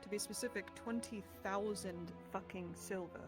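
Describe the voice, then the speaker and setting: A woman's voice: medium pitch, steady tone, normal speed, lightly acted. A woman playing a blunt, foul-mouthed bodyguard in a fantasy roleplay. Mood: incredulous, conspiratorial. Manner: blunt, sweary. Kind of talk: improvised fantasy roleplay dialogue. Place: online VR voice chat. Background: loud music.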